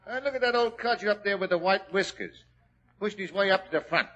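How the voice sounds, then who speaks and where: An actor playing a folksy police constable in a 1940s radio drama. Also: male voice, high voice, fast, a bit bright. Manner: folksy, dropped letters. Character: chatty London police constable. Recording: dull old radio recording.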